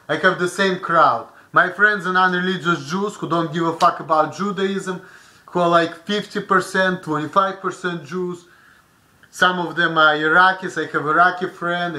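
A man's voice: high-pitched, fairly even intonation, moderate pace, sharp tone. A young man from Russia with a Russian accent, ranting heatedly and profanely at a webcam in a small bedroom. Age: young adult. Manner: heated, profane, gesturing a lot. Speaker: vlogger. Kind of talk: angry webcam rant. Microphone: webcam built-in mic.

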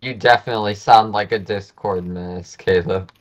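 A man's voice: on the low side, steady tone, moderate pace, slight background noise. A young male friend on a voice call, joking and egging the others on. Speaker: gaming friend. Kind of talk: casual chat over voice call.

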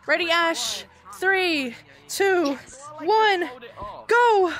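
High, lively Australian woman streamer. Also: very slow. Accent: Australian, partly Americanized. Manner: excitable, counts down and cheers. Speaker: streamer hosting a game lobby. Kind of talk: casual gaming chat.

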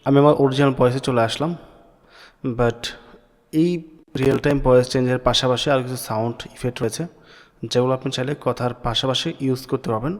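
A man hosting a software tutorial, explaining casually and mixing in English words. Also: medium pitch, steady tone, fast. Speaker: tech tutorial host. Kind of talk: screen-recorded software tutorial.

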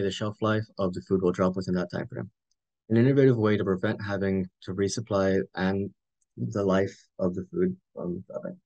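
A man's voice: sits low, vocal fry, darkish tone, poor audio. A teenage boy from Texas reading his part of a school presentation over a video call, hurrying through the script. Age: teens. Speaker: student presenter.

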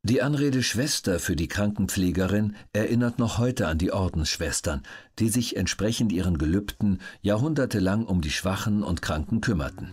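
Low, husky male audiobook narrator. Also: expressive, moderate pace, a little mellow. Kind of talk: scripted documentary narration.

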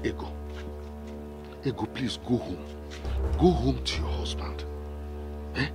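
A man's voice: mid-range voice, lively intonation, takes it slowly, music in the background. An actor playing an exasperated brother in a film drama, a man with a Nigerian accent and a firm, pleading manner. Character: exasperated married brother fending off meddling.

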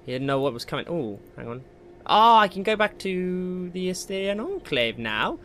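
A man's voice: high-pitched, very animated, moderate pace, fairly bright tone, a little hiss or hum. A man hosting a gaming video with casual Let's Play commentary, talking to himself in jokey, slangy asides. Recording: game music behind the voice. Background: video game music.

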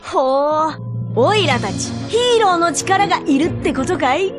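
A woman's voice: extremely high pitch, lively intonation, normal speed, music in the background. A woman voicing a cocky, eager young male furry alien hero in a Japanese video game dub.

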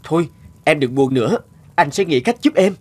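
A man voicing a kindly, protective young month spirit in a cartoon, gentle and reassuring. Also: fairly high pitch, lively intonation, moderate pace, breathy edge. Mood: concerned. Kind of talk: cartoon voice acting. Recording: background music under the voice.

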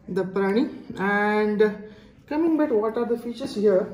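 A woman with an Indian accent giving a casual hands-on product demonstration in a halting, explanatory manner. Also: mid-range voice, mellow. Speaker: product reviewer. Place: indoors, tiled-floor room. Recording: echoey hard-floored room.

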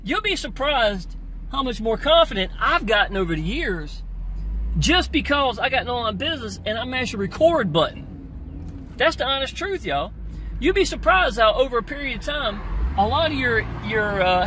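A middle-aged man delivers an energetic motivational pep talk, his pitch swinging widely as he preaches. He records on a phone held out in an open-top car. The voice sits back from the mic, with steady wind and traffic noise underneath. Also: moderate pace, recorded from a distance, poor audio. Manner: energetic, preachy, repeats "y'all". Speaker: online marketing coach. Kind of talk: motivational vlog while driving. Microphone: phone camera at arm's length.

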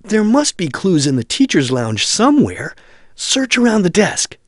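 Earnest, high-voiced helpful young friend. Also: male voice, wildly swooping pitch, a little slow, dry recording, studio quality, heavily acted. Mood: earnest, advising. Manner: earnest, advising. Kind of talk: adventure game hint dialogue.

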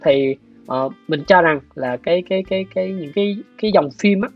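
A man in his thirties with a southern Vietnamese accent explains his views in a measured, hesitant interview style. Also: high voice. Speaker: guest, animation director. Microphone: video call. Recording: dull video-call audio, music behind. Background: soft plucked guitar music.

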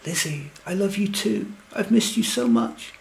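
A man's voice: high voice, normal speed, creaky, rough recording. A man playing a lovelorn, anxious, wry fellow who tells his own comic story in earnest, wordy scripted lines. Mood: hopeful, yearning. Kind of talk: scripted comic first-person narration and verse.

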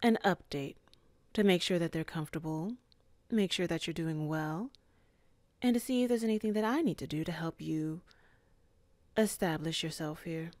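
A woman voicing a calm, composed female boss in an ASMR office roleplay, speaking in a measured, softly flirtatious way. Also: low voice, dry recording, natural voice.

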